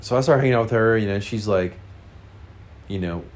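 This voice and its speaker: A man's voice: on the low side, brisk, darkish tone. A man with a congested, nasal voice, casually telling a frank, rambling personal story full of fillers.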